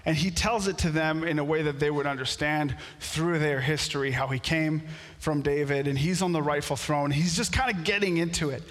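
French-native pastor guy preaching, kinda high voice, big church reverb, gets worked up in bursts. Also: a little fast. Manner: storytelling, builds to animated outbursts. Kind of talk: sermon. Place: church sanctuary.

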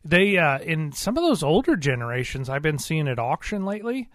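A man's voice: on the high side, sing-song, fairly quick, no echo, clean studio sound. A man co-hosting a radio talk show, chatting casually with folksy, colloquial turns of phrase.

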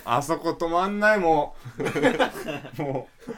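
A man co-hosting a podcast, bantering casually with friends in a teasing way with quick retorts. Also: a little high, animated, crowd noise. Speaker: podcast co-host.

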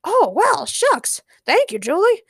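A woman's voice: extremely high pitch, huge pitch swings, takes it slowly, no room sound, studio quality, pitched above the performer's own voice, heavily acted. A woman voicing a folksy, cheerful male contestant with aw-shucks exclamations in a comic story. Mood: grateful delight.

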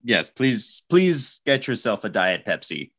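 Deadpan, reluctant male podcast host. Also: medium pitch, measured pace. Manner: hesitant, dry, reluctant. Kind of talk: deadpan comic straight man in podcast sketch.

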